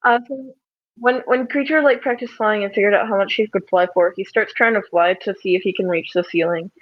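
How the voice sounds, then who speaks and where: A woman playing a tabletop game, casually describing actions in a halting way that repeats words. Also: medium pitch, no room sound, dull audio.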